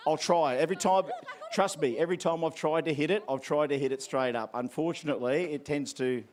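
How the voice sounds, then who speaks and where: A man's voice: fairly high pitch, fairly quick, a little mellow. An Australian man acting as MC at an outdoor event, handing out prizes with playful, teasing crowd banter.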